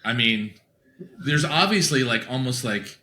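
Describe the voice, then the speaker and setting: A man with a bright, forceful voice and a middling pitch, hosting a reaction show. He comments casually and reasons things out aloud. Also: animated, unhurried.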